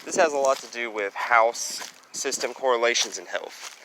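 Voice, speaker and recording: A young man with a loud, booming voice talking casually while walking outdoors, rambling along with lots of "you know" and "basically". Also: moderate pace. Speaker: astrology vlogger. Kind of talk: casual walking vlog monologue. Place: outdoors, walking near trees and traffic. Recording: outdoor street noise, wind and passing traffic.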